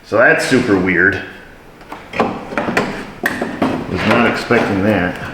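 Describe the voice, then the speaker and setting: Wry low-voiced mechanic in an echoey garage. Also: male voice, very slow. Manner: wry, dry self-mocking asides. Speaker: auto mechanic, shop owner. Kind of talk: casual how-to narration while working. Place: indoor auto repair shop garage. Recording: echoey garage with shop noise.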